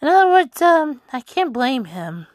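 A woman hosting a wrestling fan podcast, chatting casually in a halting way with lots of fillers. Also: high voice, sing-song, no echo, clean studio sound. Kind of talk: casual chat reading news and tweets.

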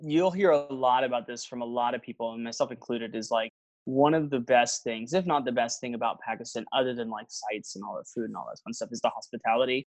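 A man's voice: mid-range voice, quick pace, no echo. A young man, a travel vlogger, chatting casually as an interview guest and telling stories with lots of fillers and restarts. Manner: rambling storyteller, many fillers and restarts.

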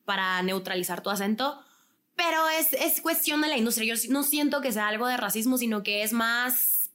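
A woman from Guatemala with a Guatemalan Spanish accent, a singer and actress talking casually as an interview guest, relaxed, with fillers and restarts. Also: fairly high pitch, moderate pace.

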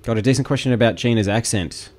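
A man hosting a casual chat, chiming in quickly and reading out viewer questions. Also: medium pitch, a little mellow. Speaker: host.

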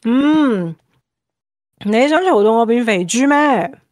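A woman's voice: on the high side, expressive, slow, darkish tone, dry recording, clean studio sound. An actress playing a sassy, independent single woman in her thirties in an audio drama, teasing and sarcastic. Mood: playful, flirty. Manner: playful, sarcastic retorts. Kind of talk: Cantonese audio drama acting.

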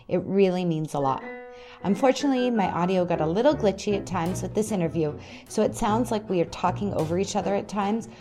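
A woman hosting a podcast interview, enthusiastic and quick to laugh. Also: fairly low pitch. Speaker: podcast host.

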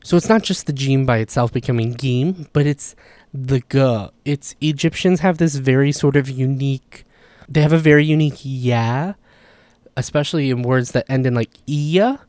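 A man's voice: mid-range voice, moderate pace, darkish tone, dry recording. A man casually explaining language features, chatty and self-deprecating, with apologetic asides and a Levantine Arabic background. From Levantine, Palestinian or Jordanian Arabic background. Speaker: language educator.

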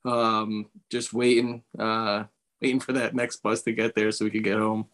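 A man's voice: fairly low pitch, level tone, normal speed, a bit bright. A man from the United States, a podcast guest, casually recalling past experiences. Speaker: podcast guest, former baseball player. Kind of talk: casual recollection.

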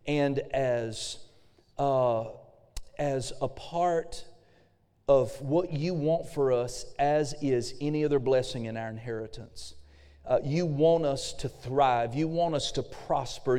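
Slightly hoarse man preaching in a big hall. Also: mid-range voice, warm tone. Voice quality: a little raspy. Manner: fervent preaching with frequent amens. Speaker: pastor. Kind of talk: sermon. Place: church sanctuary. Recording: long hall reverb.

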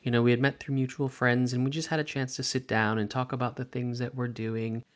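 A man hosting a podcast conversation as a meditation teacher, speaking in a calm, gentle, measured way. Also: medium pitch.

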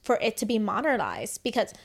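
A woman's voice: a little high, fairly quick. A woman co-hosting a podcast, giving her opinions in casual chat and saying "like" a lot. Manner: chatty, lots of "like", animated opinions. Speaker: podcast co-host.

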